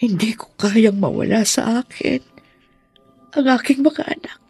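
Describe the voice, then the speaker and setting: An anguished, possessive middle-aged mother in a radio drama. Her husky voice halts with remorse as she confesses, recorded close and dry over faint music. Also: on the high side, darkish tone, no echo, heavily acted. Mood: remorseful, anguished. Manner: halting, confessional, heavy with feeling.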